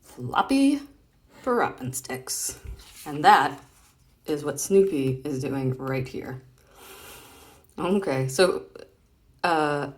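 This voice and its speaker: A woman's voice: low-pitched, darkish tone. A woman hosting a casual read-aloud of comic strips, chatting playfully and expressively as she explains things directly to a child.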